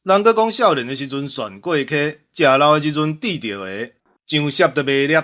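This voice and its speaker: A Taiwanese man plays up a radio sales pitch as host. He is earnest and grandiose, with a very lively, swinging intonation. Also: moderate pace.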